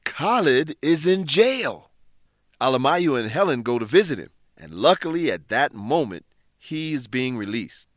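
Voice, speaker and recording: Male host-narrator for an educational radio drama, animated pitch, deliberate announcer delivery, dry studio recording. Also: no room sound. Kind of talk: scripted radio drama narration for English learners.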